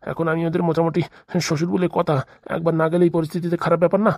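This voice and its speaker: Woman voicing a low, fast-talking worried father. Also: level tone, darkish tone, dry recording, studio quality. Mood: troubled. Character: worried middle-aged father and future father-in-law, chatty, caring. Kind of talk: comedy cartoon dubbing.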